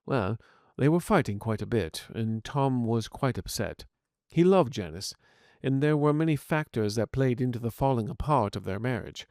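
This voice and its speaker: A man acts out the reasoning inner voice of a man in an audio story. It is calm and measured, explaining itself with remorse. The recording is dry. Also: medium pitch, dry recording, lightly acted. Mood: reluctant, explanatory. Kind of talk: scripted audio fiction dialogue.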